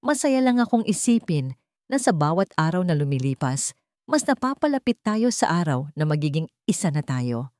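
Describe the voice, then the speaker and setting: A betrayed billionaire, acted by a woman narrator, coldly vengeful under a calm, measured surface. It is storybook melodrama in a lowish voice, recorded dry and close. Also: no room sound. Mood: controlled tenderness. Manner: controlled, measured.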